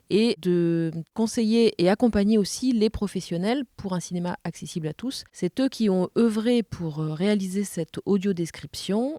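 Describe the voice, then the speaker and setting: A woman podcast host explaining and presenting in a measured way. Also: lowish voice, mellow, no echo, clean studio sound.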